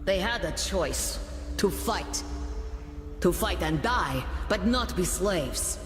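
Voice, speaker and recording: Defiant rebel fighter in echoing hall. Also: female voice, on the low side, lively intonation, slow. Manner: terse, resolute, solemn. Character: defiant, hardened young woman rebel fighter. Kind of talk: video game voice acting. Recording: echoey reverberant hall, music behind. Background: game music.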